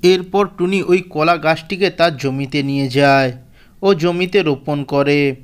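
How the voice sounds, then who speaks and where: A man's voice: a little high, clear, resonant tone. A man reading scripted narration for a children's cartoon story.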